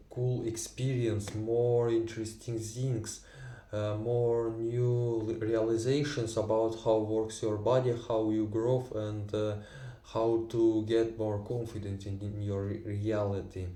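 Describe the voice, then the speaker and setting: A man who speaks Tatar and Russian natively, talking in halting English with many fillers and restarts in a personal vlog. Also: fairly low pitch, dark tone, reverberant, recorded from a distance. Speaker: vlogger. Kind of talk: unscripted personal monologue.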